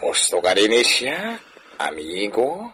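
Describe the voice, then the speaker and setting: A man's voice: on the low side, huge pitch swings, takes it slowly, bright, forceful tone, a little hiss or hum, full character voice. An Ilocano-accented man acting a landowner's familiar, prodding friend in a radio drama, with a theatrical, questioning delivery. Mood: questioning, urging. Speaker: actor in a radio comedy drama.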